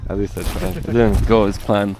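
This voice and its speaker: A man filming a casual travel vlog outdoors by a frozen lake, talking excitedly, laughing at himself and using plenty of fillers. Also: lowish voice, normal speed. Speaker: travel vlogger. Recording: noisy outdoor recording.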